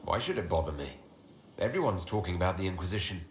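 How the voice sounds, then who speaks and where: An actor playing a self-assured leader of a fantasy order, speaking in a direct, confident way. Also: male voice, deep voice, expressive, fast talker. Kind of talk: video game dialogue acting. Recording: roomy game capture, faint background noise.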